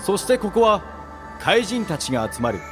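A man's voice: sits high, very animated, normal speed, music in the background. A man delivering dramatic scripted narration as the narrator of a radio drama.

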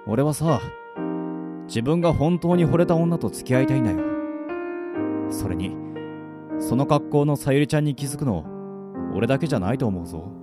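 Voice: mid-range voice, expressive, moderate pace, pauses often, over loud music, natural voice. An actor playing a cool, level-headed teenage schoolboy in a Japanese audio drama, talking in a casual, dry, frank way. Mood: earnest, frank. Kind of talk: Japanese anime-style audio drama acting.

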